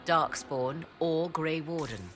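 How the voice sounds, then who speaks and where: A woman's voice: low voice, slow, a bit bright. An actress playing a haughty, scholarly female advisor in a fantasy game, with formal, imperious diction. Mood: grave, insistent. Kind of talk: video game voice acting. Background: game music.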